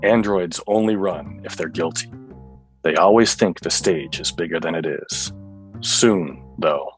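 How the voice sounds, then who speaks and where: A man playing a weary, self-serious hardboiled cop in a radio play, with deadpan noir-style delivery. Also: low-pitched, unhurried, faint music behind. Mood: self-serious narration.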